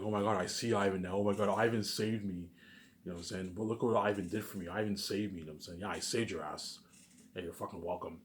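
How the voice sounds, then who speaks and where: A man talking casually in a rambling, repetitive monologue, swearing often and swinging between loud emphatic bursts and quieter asides. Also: fairly low pitch. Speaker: vlogger.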